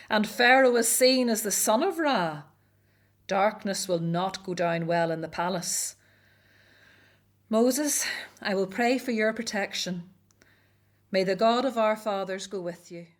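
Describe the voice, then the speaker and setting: A woman's voice: mid-range voice, unhurried, long pauses, lightly acted. A woman acting in a radio drama as a caring, anxious, devout Hebrew elder sister, speaking earnestly and expressively. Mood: troubled, devout.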